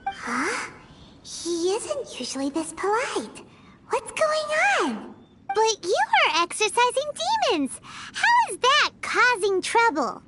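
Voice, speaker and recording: A woman's voice: very high voice, big pitch swings, slow pace, sharp tone, a little hiss or hum, heavily acted. A woman voicing a tiny, chatty fairy companion in a video game who talks about herself in the third person and is eager and nosy. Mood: curious. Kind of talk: video game cutscene voice acting. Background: game music.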